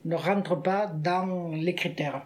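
A man's voice: a little high, slow. An older retired man who volunteers at a village library, speaking wryly in short emphatic bursts in a casual interview.

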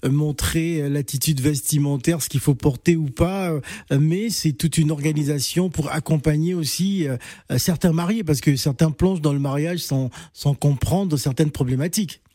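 A man hosting a radio interview, asking formal, measured questions with some stammered restarts. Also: fairly high pitch, dark tone, no room sound. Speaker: radio host. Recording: dry radio studio.

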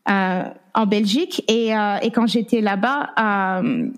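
A woman's voice: mid-range voice, slow pace. A woman in her thirties telling her own story in an interview, hesitant and full of fillers, with English words slipping in. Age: 30s. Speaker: guest sharing her story. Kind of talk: candid personal testimony.

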